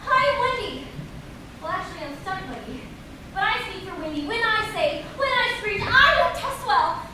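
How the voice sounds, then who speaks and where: An actress playing a student's brash, screechy stunt double in a combative, over-the-top stage style. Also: female voice, very high-pitched, sing-song, moderate pace. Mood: frantic. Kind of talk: theatrical stage acting. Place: stage in a hall, recorded from afar. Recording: distant echoey stage recording, noisy room.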